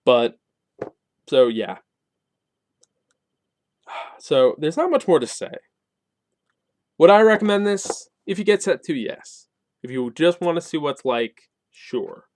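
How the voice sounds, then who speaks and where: A man's voice: fairly high pitch, very animated, slow, pauses often, no echo. A man reviewing in a casual, unscripted way, with informal wording and emphatic stress on key points. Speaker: game review channel host.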